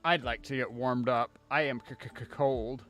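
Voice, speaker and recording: A man's voice: medium pitch, steady tone, measured pace, a bit bright. A man livestreaming a video game with casual, wry, grumbling commentary. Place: inside a cold house. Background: game music.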